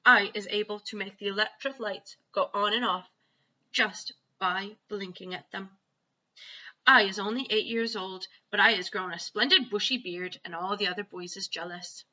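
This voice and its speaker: A woman reads as an excitable, bragging storybook child with childish broken grammar. The delivery is very bright and forceful, on a slightly dull lo-fi recording. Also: medium pitch, normal speed. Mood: proud. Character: excitable boastful child recounting wild dreams, ungrammatical. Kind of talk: children's storybook read-aloud.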